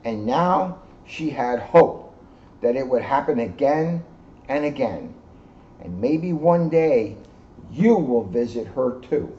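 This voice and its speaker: A man in his sixties, a police officer reading aloud to children and commenting informally in an earnest, expressive way. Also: mid-range voice, slow. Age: 60s. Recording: muffled, noisy amateur video sound.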